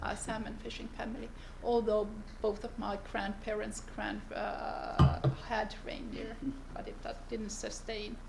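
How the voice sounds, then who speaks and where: A woman from northern Finland giving a seminar presentation, unhurried and pausing often to search for her words. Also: medium pitch, flat delivery, vocal fry, heavy background noise. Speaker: seminar speaker, lawyer. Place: seminar room.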